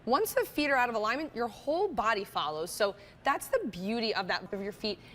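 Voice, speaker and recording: A woman representing a brand, giving a conversational, emphatic product pitch and readily agreeing with her co-presenter. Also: very animated, a little fast, fairly bright tone, a little hiss or hum.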